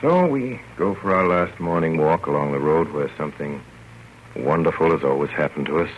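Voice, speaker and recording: A man playing a fond, wry, gentlemanly father telling his story with measured theatrical delivery in 1930s radio style. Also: a bass voice. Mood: wry narration. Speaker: narrator. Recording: muffled, noisy old radio broadcast.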